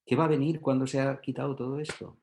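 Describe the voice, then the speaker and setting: A man's voice: medium pitch, little pitch movement, quick pace, darkish tone. A man giving an explanatory, teacherly talk, often checking in with short rhetorical questions. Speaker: guest expert, psychotherapy trainer and author.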